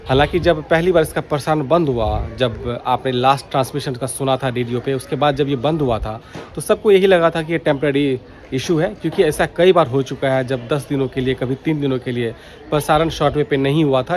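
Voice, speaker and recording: Man vlogging over clear background noise. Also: mid-range voice, darkish tone. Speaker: radio hobby vlogger. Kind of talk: informal vlog commentary.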